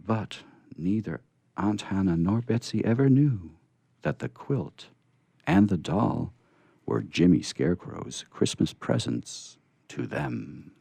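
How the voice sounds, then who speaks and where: A man's voice: low voice, animated, takes it slowly, creaky, dark tone, breathy edge, no echo. A man hosting a radio theatre, reading stories aloud live in a chatty way. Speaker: radio theatre host and reader. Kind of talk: live dramatic story reading. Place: live radio reading before a small group.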